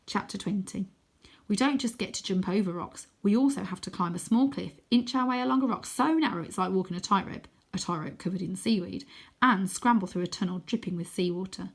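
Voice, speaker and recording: A woman reading a children's adventure story aloud as expressive first-person narration. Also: mid-range voice, creaky. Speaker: storybook reader.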